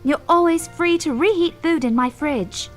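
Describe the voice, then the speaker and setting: A woman's voice: very high-pitched, moderate pace, faint music behind. A woman voicing a caring, motherly young schoolteacher who nags her friend kindly, in a visual novel game. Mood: kind concern. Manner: nagging yet kind.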